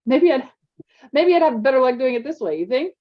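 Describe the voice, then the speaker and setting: A woman's voice: high voice, animated, fairly quick, dull audio, voice pushed up. Woman putting on an eager joking boy, audiobook. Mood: upbeat. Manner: upbeat, teasing.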